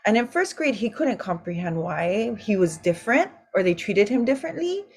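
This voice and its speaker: Woman in her forties, roundtable host, heartfelt storyteller, everyday mid pitch. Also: sing-song, normal speed, breathy edge. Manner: warm, emotional storytelling with Hawaiian words mixed in. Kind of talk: casual talk story.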